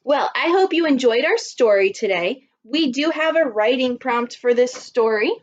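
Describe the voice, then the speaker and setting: A woman's voice: high voice, animated, normal speed, highs cut off. A woman primary school teacher speaking expressively and instructively to young children in simple phrasing, as in a read-aloud lesson.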